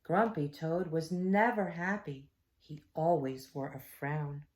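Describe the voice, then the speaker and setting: A woman teacher reading a storybook aloud to children, expressively, with quiet asides. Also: deep voice, slow pace. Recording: echoey room.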